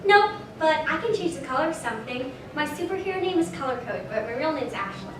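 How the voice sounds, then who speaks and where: A woman's voice: high voice, expressive, fast talker. A woman playing a sweet, clueless eight-year-old girl with an unsure, childlike manner. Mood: innocent, unsure. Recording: echoey hall, distant mic, noisy.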